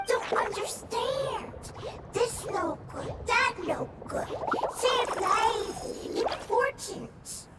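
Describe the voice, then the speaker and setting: Game critter: tiny agitated mushroom, squeaky broken speech, extremely slow, distant under heavy noise. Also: female voice, expressive, full character voice. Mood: agitated, insistent. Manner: halting broken speech, blunt. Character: simple-minded, agitated little floating fungus creature. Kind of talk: video game character acting.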